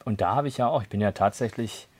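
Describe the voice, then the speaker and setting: A man's voice: fairly low pitch, quick pace, darkish tone, slightly rough, no echo. A young man from Berlin chatting casually, rambling with lots of fillers. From Berlin, Germany. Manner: rambling, many fillers and "und sowas". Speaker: conversation partner. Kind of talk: casual chat.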